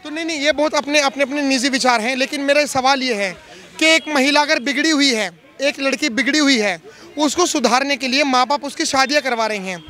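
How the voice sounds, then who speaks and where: A man's voice: very high voice, fairly quick, pushed and bright. A man working as a street interviewer, putting emphatic questions on social issues to passers-by and repeating his phrases.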